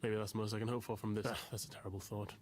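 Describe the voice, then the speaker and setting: A man's voice: lowish voice, level tone, racing, slight background noise, close to an everyday voice. A man voicing a self-pitying, theatrical young literary type in counseling for a visual novel game, wordy and self-mocking. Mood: anguished, self-loathing.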